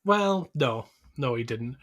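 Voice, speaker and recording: A man's voice: medium pitch, lively intonation, takes it slowly, a bit bright. A man co-hosting a podcast, talking casually about films with friends. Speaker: podcast co-host. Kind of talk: casual film chat.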